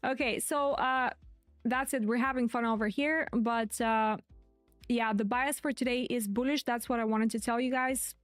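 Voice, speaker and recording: Higher-voiced woman streaming crypto commentary. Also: fairly even intonation, normal speed, no room sound, soft background music. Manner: chatty, repeats key points. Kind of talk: live stream market commentary.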